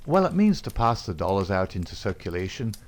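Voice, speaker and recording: Guy doing a cocky young outlaw, calm and defiant, low gravelly voice. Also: brisk, heavily acted. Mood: cool.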